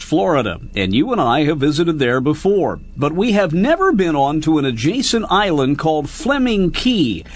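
American radio host with very lively pitch. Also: male voice. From small-town United States. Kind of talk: conversational radio feature narration.

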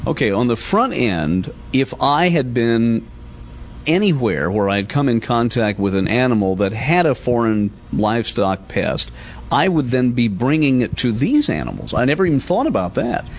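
A man's voice: mid-range voice, sing-song, moderate pace. A man working as a folksy radio reporter, asking curious questions in a conversational field interview. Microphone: portable tape recorder microphone in a plastic bag. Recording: loud vehicle and outdoor noise, field tape.

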